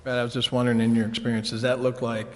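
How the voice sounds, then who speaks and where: Council guy asking questions, kinda halting and flat, hall reverb on it. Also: medium pitch. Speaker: city council member. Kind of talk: questioning at a public meeting. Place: council chambers.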